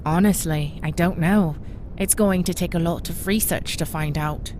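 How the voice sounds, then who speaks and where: A woman acts a starship medical officer in a sci-fi audio drama. She is frank and caring, with a direct manner and a lowish voice. There is faint engine rumble in the background. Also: moderate pace, natural voice. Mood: sympathetic. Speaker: voice actor.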